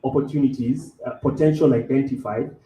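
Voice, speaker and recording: A man's voice: mid-range voice, fairly even intonation, fairly quick, warm tone, reverberant, highs cut off. A man from Kenya speaking on a live café panel, restarting often and repeating words as he searches for phrasing, in a mostly neutral accent. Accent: neutral English, faint Kenyan.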